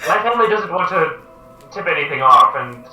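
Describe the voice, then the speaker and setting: Gm guy, hesitant, lots of uhs, pitch jumps all over. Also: expressive, fairly quick, sharp tone, soft background music. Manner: hesitant, filler-heavy. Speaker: game master. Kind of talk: running a tabletop game. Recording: echoey room, mic far off.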